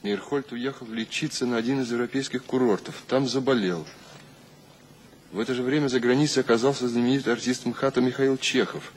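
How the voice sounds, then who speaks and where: An actor playing a reflective, literate poet in a radio drama, speaking in a measured way. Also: male voice, medium pitch, fairly even intonation, slight background noise, lightly acted. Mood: narrating. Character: thoughtful, admiring male poet of revolutionary Russia. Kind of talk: radio drama acting with narration.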